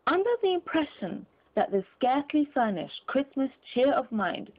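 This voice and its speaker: A woman voicing a courteous, formal, earnest gentleman collecting for charity in a storybook reading. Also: high voice, sing-song, moderate pace, voice pushed up. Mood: earnest, appealing. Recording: muffled phone-like narrow sound.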